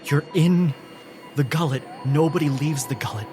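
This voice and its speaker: A man voicing a grim, fatalistic prisoner in a tabletop game, halting and repeating himself. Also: on the high side, voice pushed up, close to an everyday voice. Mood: grim warning. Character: grim, fatalistic male prisoner warning of doom. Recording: music bed under the voice.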